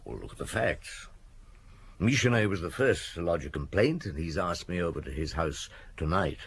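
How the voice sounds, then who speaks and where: Casting: a laconic, commanding police chief, lowish rough-edged voice, radio play. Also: male voice, sing-song, normal speed, exaggerated voice. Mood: dry, probing. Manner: terse, questioning, authoritative. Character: shrewd, laconic male police chief inspector. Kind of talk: radio drama acting.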